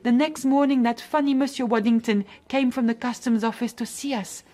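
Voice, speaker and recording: An actress playing a devoted, chatty nun in a radio drama. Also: female voice, on the high side, steady tone, a little fast, warm tone, natural voice. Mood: fond, reminiscing. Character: devoted, chatty French nun, gently self-reproaching.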